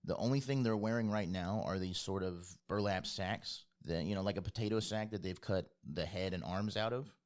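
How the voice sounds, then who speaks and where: Low-voiced man narrating a tabletop game. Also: normal speed, few pauses. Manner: informal, descriptive. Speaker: game master.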